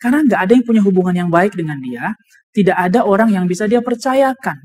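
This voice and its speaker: A high-voiced man with an Indonesian accent delivers a sermon. He explains his points deliberately, as a preacher teaching a congregation, and restates key phrases to drive them home. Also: lively intonation, a little mellow. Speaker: preacher, also a doctor. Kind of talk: sermon with personal anecdotes and scripture reading.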